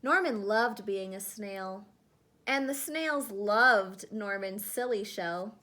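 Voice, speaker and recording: A woman reading a children's picture book aloud in an expressive storytelling style. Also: medium pitch, takes it slowly. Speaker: storybook reader.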